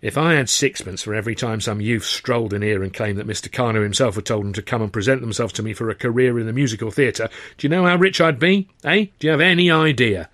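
A man's voice: fairly low pitch, fast, few pauses, no echo, studio quality, natural voice. A man voicing a harassed, irritable middle-aged office manager in an audiobook, brusque and sarcastic. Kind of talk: audiobook character voice.

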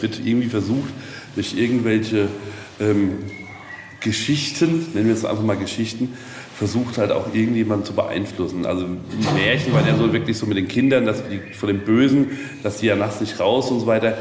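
A man's voice: on the low side, brisk, darkish tone. Middle-aged man in a very echoey space. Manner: rambling, circling explanations. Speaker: castle representative and guide. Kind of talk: casual explanatory interview talk. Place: reverberant space at a castle. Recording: echoey hall, faint ambient noise.

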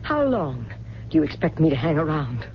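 A ruthless young mistress in a radio thriller. She is blunt and slangy, coaxing with a cold edge and swinging widely in pitch. The sound is dull, with hiss behind it. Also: big pitch swings, normal speed. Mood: cold, threatening. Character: hard, scheming young mistress pushing her lover to murder. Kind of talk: radio mystery drama acting. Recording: dull, hissy 1970s radio recording.

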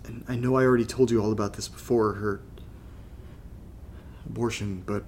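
Gravelly, congested young man, played by a male actor, troubled and hesitant, confessional horror podcast diary, faint rumble behind. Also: fairly even intonation, normal speed, a little mellow, natural voice. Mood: troubled, searching. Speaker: podcast narrator in horror audio drama. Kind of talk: confessional audio diary, casual halting monologue.

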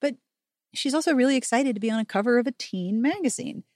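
A woman with a fairly high voice, recorded close and dry. She recaps in a chatty, opinionated way as a podcast host, dropping wry, emphatic asides. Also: lively intonation, fast talker, a little mellow, dry recording.

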